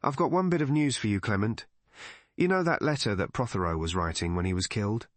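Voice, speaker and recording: A man voicing a brisk, sociable police colonel in a mystery audiobook, with informal, clipped phrasing. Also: on the low side, animated, no echo, close to an everyday voice. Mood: brisk, friendly.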